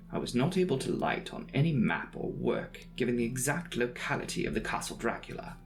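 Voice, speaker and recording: A man voicing an earnest, inquisitive young traveller reading out his journal in a precise, matter-of-fact way for a dramatic audiobook. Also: mid-range voice. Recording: music behind the voice.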